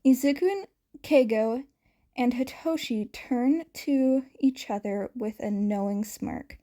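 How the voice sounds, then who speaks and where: A woman's voice: fairly high pitch, slow, dark tone. A woman reading a scripted story aloud as narrator. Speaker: fan fiction reader.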